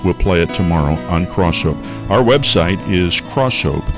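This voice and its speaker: A man preaching a radio sermon, earnest, slowing down when he quotes scripture. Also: sits low, animated, in a large hall, music in the background. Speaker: pastor.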